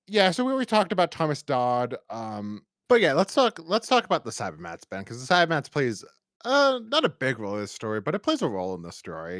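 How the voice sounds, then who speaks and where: Rambling podcast man with swooping pitch. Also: no room sound, clean studio sound. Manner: rambling, halting with repeated false starts. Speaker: podcast host. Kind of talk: casual review chat.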